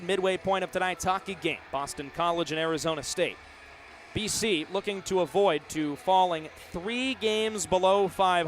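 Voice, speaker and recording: Young guy doing live hockey radio call, kinda high voice, clipped running play by play. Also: slight background noise. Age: 20s. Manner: clipped, vivid running narration of the action. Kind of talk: live radio sports commentary.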